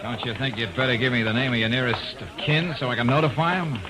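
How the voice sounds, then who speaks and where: An actor playing a confident, wisecracking American private investigator in an old-time radio drama. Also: male voice, medium pitch, fast talker, lightly acted. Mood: cocky, taunting. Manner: breezy, needling, wisecracking. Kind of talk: 1940s-50s radio detective acting. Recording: noisy vintage radio drama recording.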